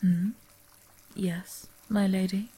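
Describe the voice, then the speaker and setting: A woman playing a dutiful masked female butler in an audio roleplay drama, speaking in a soft, formal whisper. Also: medium pitch, flat delivery, slow, slightly rough. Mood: hushed.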